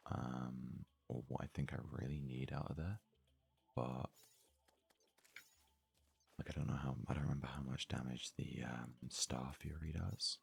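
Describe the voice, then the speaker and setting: A man giving hushed, unhurried ASMR gaming commentary, murmuring as if thinking aloud in a husky, breathy voice. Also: very deep voice, steady tone. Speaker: let's play host.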